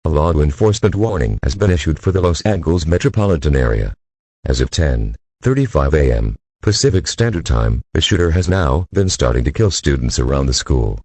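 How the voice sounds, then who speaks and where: A synthetic-sounding, gruff male voice playing a grave emergency alert system announcer. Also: very deep voice, expressive, a little fast, darkish tone, no room sound, full character voice. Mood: grave, formal.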